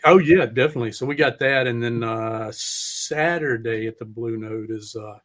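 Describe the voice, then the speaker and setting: A man's voice: medium pitch, normal speed, fairly bright tone. A man hosting a casual, rambling chat in a folksy, laid-back, profane way. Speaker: podcast host.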